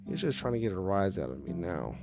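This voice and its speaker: A man's voice: low-pitched, big pitch swings, brisk, creaky, voice pushed down, heavily acted. A man voicing a sad, insecure male clown puppet who gets defensive, in a visual novel game. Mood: wary. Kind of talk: visual novel character reading. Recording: music faintly behind the voice. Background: music.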